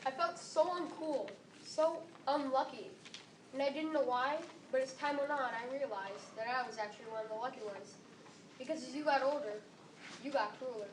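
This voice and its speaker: Female student, early teens, quite high voice, stage recitation, distant mic. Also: moderate pace. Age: young teenager. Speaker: student performer. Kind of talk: readers theater recitation. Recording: echoey room, distant mic, background noise.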